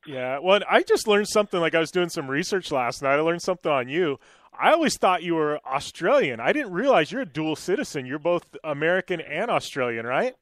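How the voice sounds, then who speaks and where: A man's voice: fairly high pitch, sing-song, a little fast, a bit bright, no echo, studio quality. A man hosting a casual radio interview, chatty and rambling through his questions with many fillers. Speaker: radio show host.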